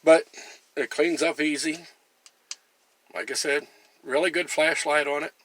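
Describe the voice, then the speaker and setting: A man's voice: a little high, steady tone, unhurried, a bit bright, no room sound. A man talking casually through a product demonstration in a plain-spoken, folksy and chatty way. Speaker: gear reviewer and camper.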